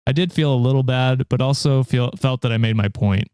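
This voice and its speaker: A young man hosting a podcast, reading a story aloud and chatting about it in a relaxed, dry way. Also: medium pitch, brisk, clear, resonant tone, dry recording. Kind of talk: story reading with casual chat.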